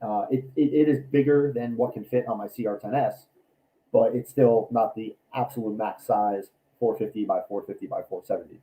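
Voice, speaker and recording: A man with a raspy voice from allergies, chatting casually on a livestream about his builds, rambling with many ums and restarts. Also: on the low side, steady tone, normal speed, phone-quality sound. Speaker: cosplay maker and YouTuber.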